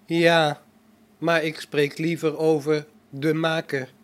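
A robot prophet, acted by a man for a science fiction radio play, with a slightly high voice. It reasons calmly and solemnly, utterly sure of itself and its faith. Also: slow. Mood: calm, self-assured. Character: self-assured male robot prophet, calm, devout and logical.

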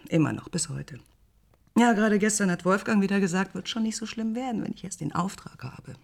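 A man playing a wry, tender theatre confidant in a German radio drama, with a husky, creaky voice. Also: sits high, expressive, fairly quick, full character voice. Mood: wry, concerned.

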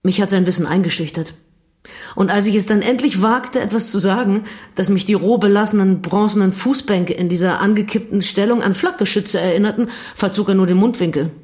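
A woman's voice: medium pitch, a little fast, darkish tone, natural voice. An actress playing a thoughtful, slightly intimidated woman in an audio drama, speaking in a reflective, questioning way. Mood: recalling.

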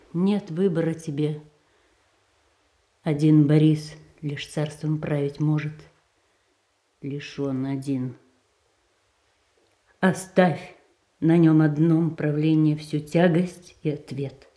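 An actress playing a gentle, devoted tsaritsa who speaks in a soft, soothing and earnest way in a theatrical radio play. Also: female voice, sits low, steady tone, slow, long pauses.

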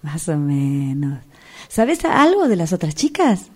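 An Argentine woman acting in a radio drama as a self-possessed woman, speaking in a deliberate conversational way. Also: low-pitched, big pitch swings, a little mellow, breathy edge, full character voice. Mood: friendly, nostalgic. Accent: Argentine, Rioplatense.

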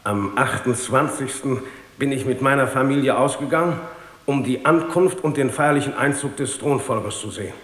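An actor playing a proud, eager, dutiful witness who gives formal, emphatic testimony in a radio drama. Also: male voice, medium pitch, moderate pace, natural voice. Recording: echoey hall with long reverb.

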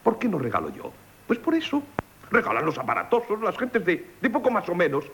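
A man's voice: sits high, huge pitch swings, fairly quick, a bit bright, breathy edge, heavily acted. A man playing a vain, touchy, penniless marquis in a radio comedy, pompous and blustering, stammering when cornered, with a Castilian Spanish accent. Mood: haughty, indignant. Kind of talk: theatrical radio comedy acting.

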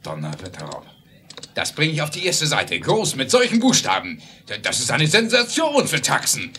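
Excitable western newspaperman, bright voice leaping about, eager, vintage audio drama. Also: medium pitch, moderate pace, slight background noise. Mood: thrilled. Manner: breathless enthusiasm, stumbling. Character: excitable Wild West newspaperman scenting a sensation. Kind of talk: 1970s German radio play acting.

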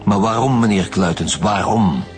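A man's voice: fairly low pitch, normal speed, lightly acted. An actor with a Flemish accent playing a dry male police detective in a radio drama. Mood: probing. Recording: music behind the voice.

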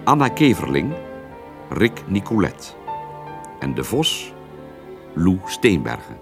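A man's voice: low-pitched, wildly swooping pitch, slow, creaky. A man working as a radio announcer, giving a formal scripted reading of credits. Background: music.

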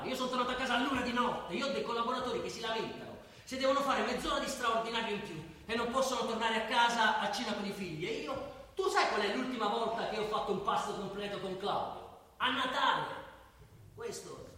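A man's voice: sits high, animated, fast talker, sharp tone, distant mic. An actor playing an overworked, weary father in a stage play recorded live, grumbling and sardonic. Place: theatre stage. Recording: echoey stage miked from afar, faint noise.

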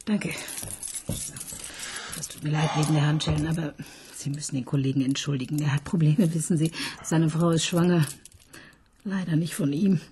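A woman's voice: deep voice, steady tone, moderate pace, long pauses, mellow, a little hiss or hum, natural voice. An actress playing an ironic, coaxing female police inspector in a radio play, often dropping into a whisper. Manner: teasing, coaxing, mocking. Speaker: police detective.

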